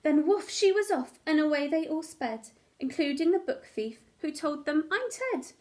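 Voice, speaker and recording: A woman teacher reading a children's picture book aloud in an expressive, animated storytelling manner. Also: sits high, moderate pace.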